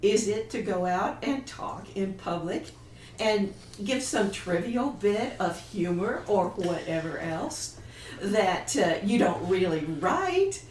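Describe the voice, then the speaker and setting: A woman's voice: mid-range voice, expressive, takes it slowly, darkish tone. Blunt woman panelist, distant and echoey. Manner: blunt, contrarian, emphatic rhetorical questioning. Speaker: writer and panelist. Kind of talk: opinionated panel discussion. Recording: echoey, distant mic, faint noise.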